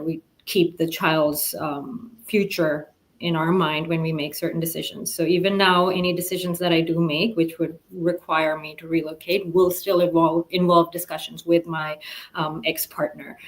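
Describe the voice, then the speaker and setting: A woman in her forties from the Emirates answers an online interview in an even, measured way. She fills her pauses with soft ums, and her voice sits a little low. It sounds like a video call. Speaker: interview guest.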